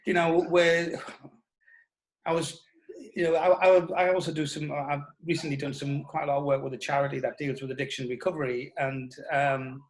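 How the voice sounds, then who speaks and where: Male interviewee, a touch high in pitch. Reflective, searching for words, repeats for emphasis. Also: level tone, normal speed, rough recording. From North East England. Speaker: interview guest, writer.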